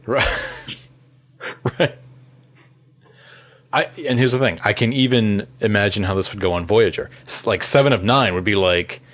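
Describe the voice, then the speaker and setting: Lower-voiced man podcasting, slightly dull audio. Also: normal speed, long pauses, fairly bright tone. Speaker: podcast co-host. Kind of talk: casual TV fan discussion.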